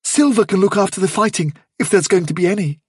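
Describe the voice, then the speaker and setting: A man voicing a small male rabbit in an audiobook, gently firm and practical as he gives counsel. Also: high-pitched, fast, husky, dry recording, clean studio sound, pitched above the performer's own voice. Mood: firm advice.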